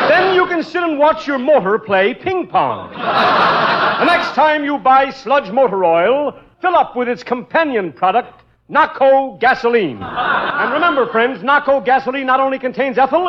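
An actor playing a hearty, slick announcer in a 1940s radio parody commercial, on a noisy, muffled old recording. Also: male voice, extremely high pitch, animated, a little slow, crowd noise. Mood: hearty salesmanship. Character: hearty, slick mock-commercial announcer pitching motor oil.

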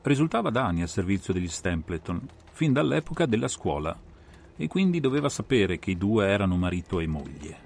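A man playing a brilliant, self-assured detective who explains a case in a measured, didactic, theatrical way for a dramatised audiobook. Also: sits low, big pitch swings, gravelly, a little mellow, slight background noise, heavily acted. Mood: explanatory, deliberate. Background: music.

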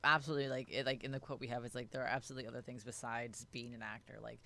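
Excitable male editor co-hosting a podcast, rambling with lots of fillers and quick laughs. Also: mid-range voice, vocal fry. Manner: animated, rambling, laughs often. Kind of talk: casual chat.

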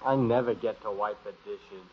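A man's voice: fairly low pitch, fairly even intonation, moderate pace, crowd noise, lightly acted. An American actor playing a wisecracking, grumbling teenage boy in a 1950s radio comedy, on an old broadcast recording. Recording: muffled, noisy vintage radio broadcast recording.

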